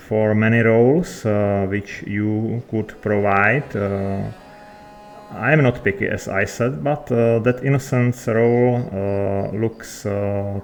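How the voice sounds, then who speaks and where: A man with a non-native English accent chatting unscripted in character in a video game, hesitant and searching for words. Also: lowish voice. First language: not English. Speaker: game player.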